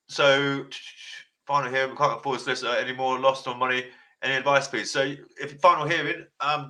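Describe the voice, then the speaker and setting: A man hosting a podcast, giving blunt advice in casual chat with a deep voice, swearing freely and repeating himself. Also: low-quality recording. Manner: passionate, sounds like shouting. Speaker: podcast host.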